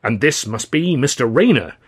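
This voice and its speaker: A man voicing a pompous, fawning theatre manager in an audiobook, effusive and florid with flattery. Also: mid-range voice, normal speed, husky, pitched above the performer's own voice. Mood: oily, fawning. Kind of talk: audiobook character voice.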